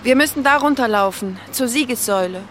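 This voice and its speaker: A woman's voice: fairly high pitch, a little fast, a bit bright, slight background noise, close to an everyday voice. An actress playing a nervous, candid young woman in a radio play, with a hesitant, confiding manner. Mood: uneasy. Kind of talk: naturalistic radio play dialogue.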